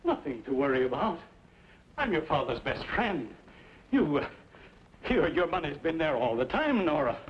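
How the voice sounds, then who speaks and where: Casting: cornered, oily banker for a radio western, halting and pleading, higher-set animated voice. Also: male voice. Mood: frightened pleading. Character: cornered, weaselly banker feigning avuncular kindness. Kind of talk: old-time radio western acting. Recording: dull, noisy old radio recording.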